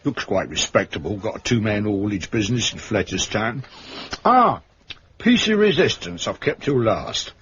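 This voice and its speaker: An actor playing a rough, cheeky working-class London manservant in a British detective radio drama. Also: male voice, fairly low pitch, very animated, normal speed, a bit bright, a little hiss or hum. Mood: cheeky, confiding. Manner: colloquial, wry. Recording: dull, lo-fi radio recording.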